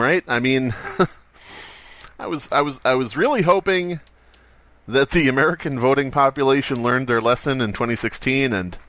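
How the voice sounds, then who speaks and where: A man's voice: mid-range voice, a bit bright. A man hosting a comedy podcast, talking in a sarcastic, exasperated way. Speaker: podcast host. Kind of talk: comedic podcast chat and sketch narration.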